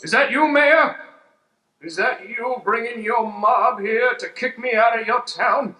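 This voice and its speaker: Wandering Old West parson played by a man, slow and high, earnest courtly drawl, live radio play. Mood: wary, defiant. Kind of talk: improvised Western radio play. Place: live improv show on a stage. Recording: echoey stage with background noise.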